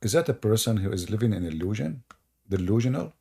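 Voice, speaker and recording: Arabic-accented guy on a live stream, mellow husky voice, joking and mocking. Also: on the low side, expressive, fast talker. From Middle East. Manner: sarcastic, teasing, jokey.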